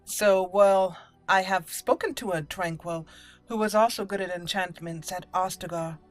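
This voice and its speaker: A woman giving chatty gameplay commentary as host, slipping now and then into measured in-character roleplay speech. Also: mid-range voice, no echo. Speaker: let's play video host. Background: game music.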